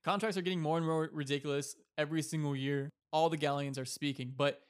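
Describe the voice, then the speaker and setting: A man's voice: on the high side, fairly even intonation, quick pace, clean tone. A man co-hosting a sports talk show, giving casual analysis of players and teams. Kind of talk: sports talk commentary on a fictional league.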